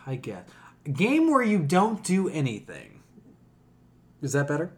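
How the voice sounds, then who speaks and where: A man's voice: on the high side, wildly swooping pitch, slow pace. A man hosting a podcast in casual, opinionated chat, blunt, profane and emphatic in how he talks. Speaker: podcast host.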